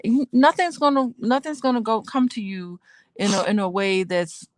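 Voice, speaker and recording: A woman co-hosting a casual chat, opinionated and expressive, laughing often as she talks. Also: fairly high pitch, moderate pace, dry recording. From United States. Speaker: co-host.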